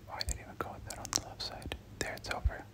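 A person doing whispered ASMR game commentary, speaking casually in gamer slang and often repeating short phrases. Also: fast talker. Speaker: gameplay commentator.

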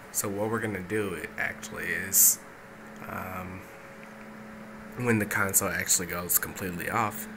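A man giving a casual, unscripted step-by-step tech tutorial, speaking informally with hesitant pauses, recorded indoors on a handheld camera. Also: deep voice. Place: indoors, small dim room at a desk setup. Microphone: handheld camera or phone mic.

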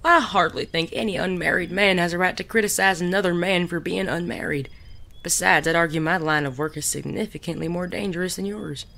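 An actor playing a suave, teasing male gentleman bandit in a Western audio drama, genteel and wry. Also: medium pitch, fairly even intonation, fairly quick, gravelly. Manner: genteel, wry, polite wording.

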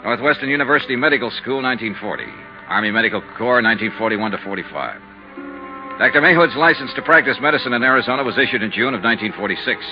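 A man's voice: medium pitch, expressive, fast, close to an everyday voice. An American actor playing a cool, businesslike insurance investigator who narrates his case in clipped 1950s radio style. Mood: brisk, businesslike. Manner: clipped, matter-of-fact delivery. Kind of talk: 1950s radio detective acting. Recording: muffled 1950s radio recording with music.

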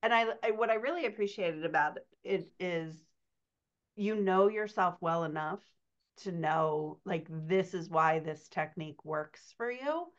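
Low-voiced American woman, slightly dull audio. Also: measured pace. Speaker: writing coach and co-host. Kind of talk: casual Q&A chat. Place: indoors, on a video call.

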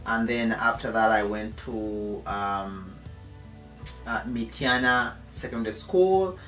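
A man in his thirties with a Ugandan accent talks casually from a couch in a living room. He speaks in a halting way, full of fillers and restarts. The room sounds echoey, with faint music underneath. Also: lowish voice, a bit bright. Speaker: co-host, husband. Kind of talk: casual chat.

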